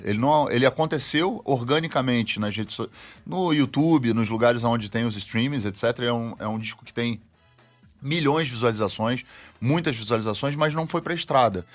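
A man, a band member guest, explaining steadily in an interview. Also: medium pitch. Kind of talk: interview answer.

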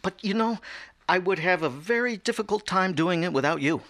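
An actor playing an excitable, smitten art museum director in a radio drama, gushing and breathy when enamored. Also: male voice, high voice, brisk, no room sound. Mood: eager, modest.

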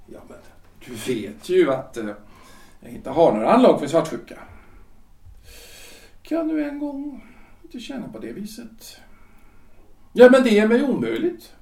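An actor playing a self-important, flustered lawyer-politician husband in his forties in a period audio drama, halting and repeating himself. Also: on the high side, very animated, a little mellow, recorded from a distance. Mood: flustered, blustering. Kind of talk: period stage-play audio drama acting. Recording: roomy echo, off the mic.

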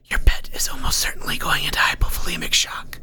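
Narrator doing whispered clinical spirit guide, detached. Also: male voice, fairly quick, bright, forceful tone.

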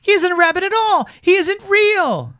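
High-pitched, brash wild rabbit. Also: normal speed, dry recording, clean studio sound, full character voice. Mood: scornful. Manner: taunting, excitable exclamations. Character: brash, mocking young wild rabbit, male. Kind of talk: children's storybook character voice.

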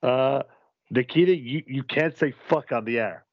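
A man's voice: medium pitch, moderate pace, dry recording, dull audio, natural voice. A man playing a flustered, exasperated wrestling call-in show host in a comedic audio play, politely weary and stammering.

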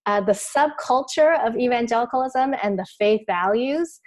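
A woman's voice: on the high side, moderate pace. A woman from Taiwan, an author being interviewed, speaking in a reflective, thoughtful way. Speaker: author and guest. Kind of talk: interview conversation.

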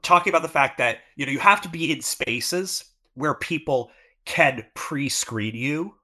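A man's voice: a little high, animated, measured pace, bright, forceful tone. A man co-hosting a podcast, explaining his views thoughtfully in a casual conversation, with frequent fillers. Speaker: podcast co-host.

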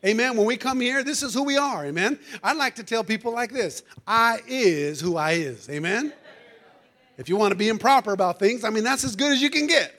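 A man's voice: high-pitched, a bit bright. A man preaching a fervent sermon to his congregation while losing his voice, calling out "amen" and "hallelujah" after nearly every phrase. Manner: calls out to the congregation. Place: church sanctuary.

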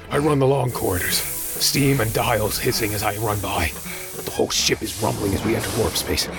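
An actor playing a desperate, sardonic space pirate on the run, narrating his own audio drama in dramatic turns from hush to yell. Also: mid-range voice, creaky. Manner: dramatic, breathless shifts from hushed to yelling. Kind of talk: first-person audio drama narration and dialogue. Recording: loud music bed behind voice.

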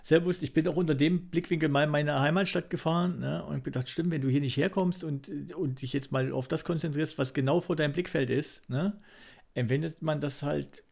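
A man's voice: a little high, fairly even intonation, brisk, vocal fry, a little mellow. A man hosting a podcast in casual conversation, rambling and reflective, often checking for agreement with little tag questions. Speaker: podcast host.

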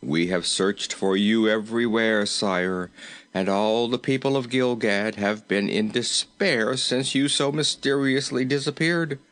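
A man's voice: lowish voice, steady tone, a little slow, fairly bright tone. A man voicing a respectful, firm royal courtier in a storybook, formal and deferential yet insistent. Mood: earnest. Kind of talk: storybook character voice.

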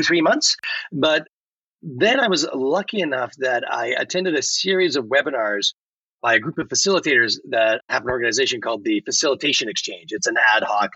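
A man's voice: mid-range voice, bright, forceful tone, slightly rough, dry recording, highs cut off. A man speaking conversationally as a guest in a podcast interview.

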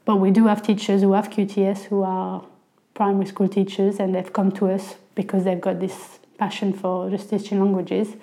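A woman's voice: medium pitch, flat delivery, normal speed, mellow. French woman chatting with many fillers. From northern France. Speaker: guest, language coordinator. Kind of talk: casual podcast conversation.

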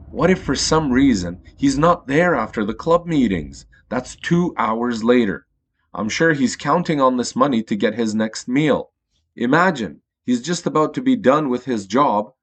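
A man's voice: mid-range voice, breathy edge. A man playing a patient, principled father who talks to his children in an earnest, explanatory way in an audio story for kids.

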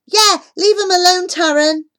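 A woman's voice: high voice, very animated, normal speed, pitched above the performer's own voice. A woman voicing a friendly, protective young boy who talks in a reassuring way.

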